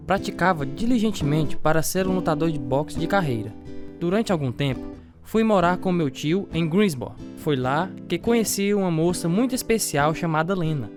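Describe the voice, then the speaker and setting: A man's voice: a little high, lively intonation, normal speed, lightly acted. A man playing an earnest former boxer who tells his life story in a radio drama. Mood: reflective. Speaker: actor narrating a radio drama. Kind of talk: first-person dramatised narration. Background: guitar music.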